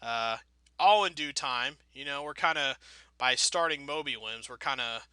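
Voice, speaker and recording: A man's voice: mid-range voice, normal speed, pushed and bright, smooth, no room sound. A man giving casual, rambling gameplay commentary, thinking aloud in a wry, self-deprecating way with playfully folksy turns of phrase. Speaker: video game let's player.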